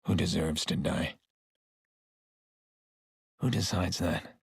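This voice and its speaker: A haunted, middle-aged vigilante, very husky and low, in a video game cutscene. He broods in terse, deliberate phrases, with a gravelly edge and a close, dry sound. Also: steady tone, no echo, full character voice. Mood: remorseful.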